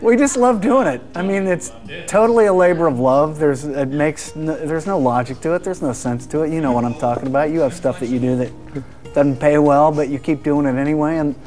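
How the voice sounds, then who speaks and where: A man's voice: fairly high pitch, a little fast, darkish tone, faint music behind. A male comedy performer and impressionist talking casually in an interview, self-deprecating and emphatic.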